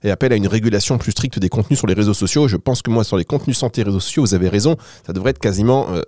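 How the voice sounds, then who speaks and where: A man hosting a radio show with casual banter, playful and teasing, quick to interject. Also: lowish voice, dark tone, no echo, studio quality. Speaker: radio host.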